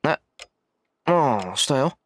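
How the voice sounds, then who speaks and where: A man's voice: medium pitch, big pitch swings, measured pace. A man voicing a kind, stubborn teenage schoolboy in a visual novel, casual and frank in manner. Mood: reassuring. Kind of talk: Japanese visual novel voice acting. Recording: music behind the voice.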